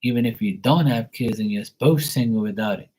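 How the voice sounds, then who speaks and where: A man's voice: on the low side, a little fast, a little mellow, breathy edge, rough recording. A man from Bermuda, hosting a live stream, talking bluntly and profanely in a rambling casual rant. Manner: blunt, profane, rambling, acts out quoted voices.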